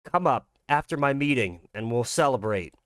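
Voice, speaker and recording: A man's voice: mid-range voice, animated, moderate pace, clear, resonant tone, no echo, clean studio sound, close to an everyday voice. Man reading as a clipped, dismissive businessman. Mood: reassuring. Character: tense, dismissive businessman father and struggling writer.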